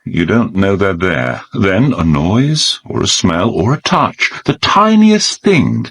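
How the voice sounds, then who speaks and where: An actor playing a musing English gentleman sportsman in a radio drama, ruminative and halting, with a British accent. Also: low voice, sing-song, slightly rough, heavily acted. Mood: reflective.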